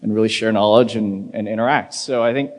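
A man's voice: on the low side, a little fast. Guy accepting an award, laid back and rambling, lots of you knows, big hall echo. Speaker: award winner. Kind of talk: acceptance speech. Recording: reverberant hall.

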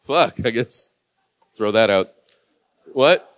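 Guy hosting an awards night, snarky and offhand, real slow and bouncy. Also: lowish voice, expressive, a bit bright. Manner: wisecracking, offhand, swears. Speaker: awards show host. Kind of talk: live awards announcing. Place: big room, on a mic.

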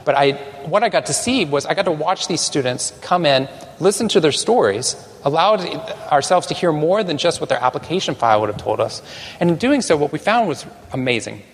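A man from Texas giving a conference talk to a live audience, animated and self-deprecating, with some restarts. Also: medium pitch, long reverb tail. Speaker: conference speaker. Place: large conference hall.

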